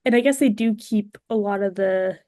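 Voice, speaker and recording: A woman speaks as a podcast guest in casual conversation. Her voice sits at a medium pitch, recorded dry with little room sound. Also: normal speed, mellow, no echo. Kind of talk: casual chat.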